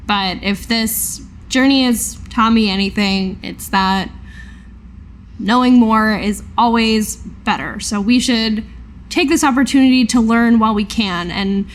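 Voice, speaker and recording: A woman talking as a player in a tabletop game, hesitant and deliberate, partly in character. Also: on the high side. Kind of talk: in-character casual game discussion.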